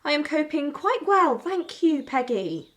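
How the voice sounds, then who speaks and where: Woman acting as strict wartime mother, highish pitch, lively intonation, prim, scolding, audio drama. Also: measured pace, heavily acted. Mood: brusque.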